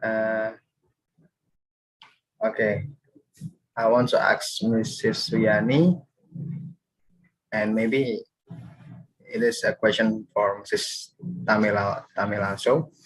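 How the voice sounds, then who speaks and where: A man's voice: on the low side, breathy edge, poor audio. A man with an Indonesian accent moderating a panel discussion over a video call. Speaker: webinar moderator.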